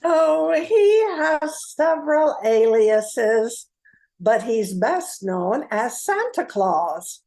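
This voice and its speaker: A no-nonsense female detective as a woman acts her in a comic radio play. Her voice is high and creaky, moving freely up and down, and she speaks at an unhurried pace with wry patience. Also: sing-song, darkish tone, full character voice, low-quality recording. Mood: explaining.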